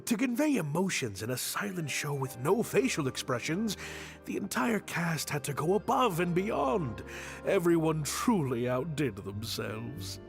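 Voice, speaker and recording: A man's voice: a little high, sing-song, a little slow, creaky, exaggerated voice. A man voicing a gracious, modest male theater director. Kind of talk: game voice acting. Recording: game dialogue with soft music behind.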